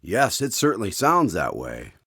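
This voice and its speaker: A man's voice: fairly low pitch, wildly swooping pitch, moderate pace, sharp tone, breathy edge, exaggerated voice. A man playing a genial, eager radio host, in a scripted announcer style. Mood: eager, curious. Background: guitar and piano music.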